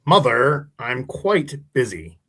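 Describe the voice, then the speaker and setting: Earnest, excitable teen geek played by a man, mid-pitched, stammers, formal nerdy phrasing, radio play. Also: slow, slightly rough, full character voice. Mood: irritated. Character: earnest, excitable nerdy teenage boy superfan. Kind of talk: live radio play read.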